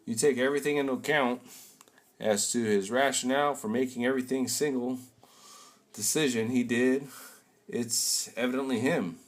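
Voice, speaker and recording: A young man playing a shady older businessman on a phone call, speaking in a low, clipped way. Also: fairly even intonation, moderate pace, close to an everyday voice. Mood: cool. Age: young adult.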